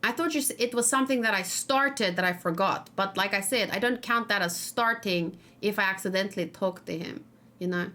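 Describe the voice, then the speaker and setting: A woman with a mid-range voice gives casual live commentary on a game. She thinks aloud and chats to her viewers in an informal way, with animated exclamations mixed into the talk. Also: medium pitch, fairly quick. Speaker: gaming streamer.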